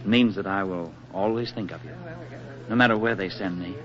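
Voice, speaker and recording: Earnest young soldier, muffled recording. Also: male voice, sits low, a little fast, fairly bright tone. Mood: tender, earnest. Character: affable young American soldier, tender sweetheart. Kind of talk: 1940s radio acting. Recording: muffled, noisy 1940s radio recording.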